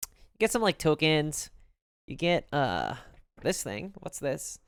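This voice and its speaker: Low-voiced, lively Belfast woman. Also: slow pace, clean tone, no room sound, studio quality. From Northern Ireland. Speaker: live streamer. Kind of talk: casual chat to a live audience.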